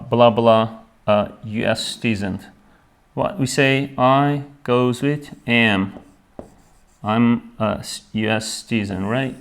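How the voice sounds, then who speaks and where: Man, English teacher, very slow, repeats words, smooth clear voice. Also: mid-range voice, a bit bright. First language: Turkish. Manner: slow, repeats each word, checks with "right?"; switches between English and Turkish. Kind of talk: grammar lesson for beginners.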